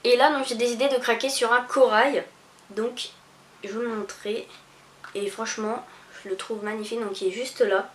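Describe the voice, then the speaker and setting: Young woman, halting chatty vlog. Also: on the high side, fairly even intonation. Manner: hesitant, many fillers and restarts. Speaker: beauty vlogger. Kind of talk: casual haul video. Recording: echoey room.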